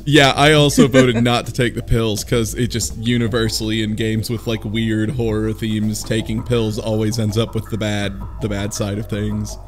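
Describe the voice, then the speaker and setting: A man's voice: on the low side, level tone, moderate pace, few pauses, faint music behind. A man in his twenties, a podcast panelist in casual, joking discussion. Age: 20s.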